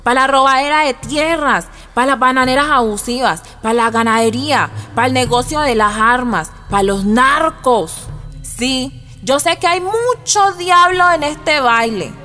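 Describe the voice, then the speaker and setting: Casting: forthright Colombian peasant mother, very high, bright forceful voice, emotional radio drama. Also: unhurried, soft background music. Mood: defiant. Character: Ana Fabricia, defiant, outspoken peasant woman and mother.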